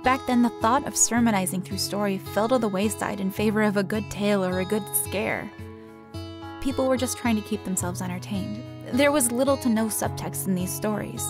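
A woman's voice: medium pitch, fairly quick. A woman hosting a storytelling show, reading scripted narration. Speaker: host. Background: plucked guitar music.

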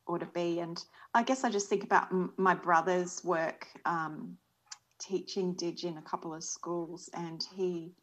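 An Australian woman in her forties speaks over a video call, her voice sitting a little low. She talks earnestly and reflectively, softly and without hurry. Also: steady tone, a little slow, highs cut off. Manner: earnest, thoughtful, soft-spoken. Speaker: panel guest. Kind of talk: reflective panel talk. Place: small indoor room. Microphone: video call webcam.

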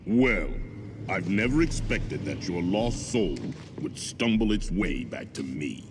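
Slow, menacing villain warlord. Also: male voice, deep voice, sing-song, full character voice. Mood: mocking. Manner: slow, contemptuous, gloating. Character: megalomaniac male villain warlord, arrogant, menacing, commanding. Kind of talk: dramatic video game voice acting. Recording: loud ambient noise behind the voice.

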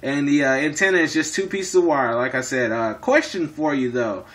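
A man's voice: a little high, animated, normal speed, a bit bright. A man in casual two-way radio chat, speaking in operator jargon and often repeating himself. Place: indoors in an apartment.

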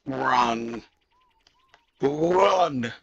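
A man giving casual gameplay commentary through a voice changer, gravelly and raspy, in short, repeated exclamations. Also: medium pitch, animated, drawn-out words, muffled recording, a little hiss or hum. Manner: short, fragmented exclamations, often repeating words. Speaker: gamer recording gameplay.

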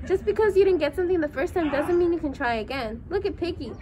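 Young woman with a high voice reading aloud. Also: quick pace, noisy background. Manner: friendly, teacherly. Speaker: student reading aloud to a class. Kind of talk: read-aloud with discussion. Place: outdoor schoolyard.

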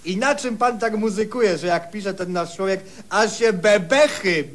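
Comic radio role: high-voiced dim official, bossy, colloquial, pleased with himself. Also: male voice, measured pace, a bit bright. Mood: officious. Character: bossy, dim, self-assured petty official in charge. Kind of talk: Polish comic radio play acting.

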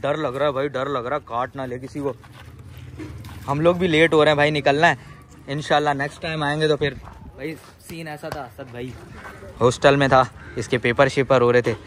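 A man's voice: mid-range voice, normal speed. A young man in his twenties with a sore throat, chatting through a casual travel vlog to a handheld camera, first in a yard and then in a car. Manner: excited, calls out loudly when flustered. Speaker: vlogger. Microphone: handheld camera at arm's length. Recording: noisy street and car background.

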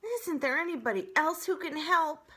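A woman's voice: high-pitched, expressive, moderate pace, sharp tone, pitched above the performer's own voice. A woman voicing a vain, hungry, sly male fox, whiny and demanding, in a children's storybook. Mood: pained, pleading. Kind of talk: children's book read-aloud.

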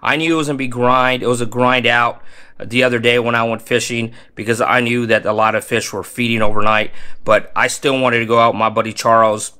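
Bright-voiced man giving energetic fishing tips. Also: medium pitch, a little fast, clean tone. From Texas Gulf Coast. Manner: energetic, emphatic, repeats phrases for stress. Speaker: fishing channel host. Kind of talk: casual fishing tips to camera. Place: small home room with colored lights.

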